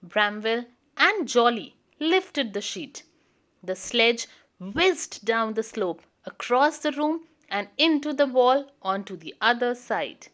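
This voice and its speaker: Female children's storyteller: high voice, slow deliberate read-aloud, expressive.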